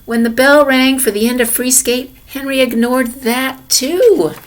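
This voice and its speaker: A woman reading a children's picture book aloud in an expressive manner, sometimes dropping to hushed tones. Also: high voice, unhurried, a little hiss or hum. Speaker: storybook reader for a children's lesson.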